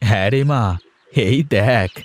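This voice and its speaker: A man voicing a kindly, doting middle-aged village father in an animated fairy-tale cartoon, speaking in a homely, deliberate way. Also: on the low side, lightly acted. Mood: affectionate.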